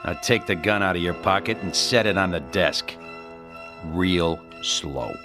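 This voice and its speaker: Cocky, low-voiced hard-boiled avenger. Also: male voice, unhurried, over music. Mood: menacing. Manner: taunting, slangy, sardonic tough-guy delivery. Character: cocky, menacing male avenger posing as gangster's messenger. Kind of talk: hard-boiled crime radio drama acting.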